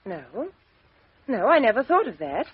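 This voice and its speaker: An actress playing a calm, matter-of-fact young woman questioned by police in a 1950s radio drama. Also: a little high, normal speed, slightly rough, heavily acted. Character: composed young Englishwoman, victim's flatmate. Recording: dry, dull lo-fi old radio recording.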